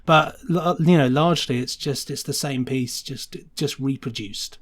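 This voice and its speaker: A man with a medium-pitched voice talks as a guest in a relaxed interview. He is chatty and enthusiastic, and likes to tag questions onto what he says. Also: moderate pace. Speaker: podcast guest, sound designer. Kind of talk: casual interview chat.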